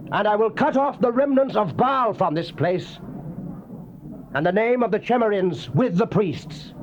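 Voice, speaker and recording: High-pitched, wrathful prophet, muffled tape. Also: male voice. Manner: declamatory, solemn, theatrical. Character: fiery male prophet proclaiming God's wrath and doom. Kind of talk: dramatized scripture reading, archaic King James English. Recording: muffled, noisy old cassette tape.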